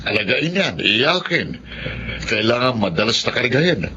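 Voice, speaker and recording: A man's voice: medium pitch, very animated, moderate pace, pushed and bright. Radio drama grandfather: older Filipino man, reluctant, complaining, noisy lo-fi sound. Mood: reluctant, grumbling. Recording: muffled lo-fi audio with noise.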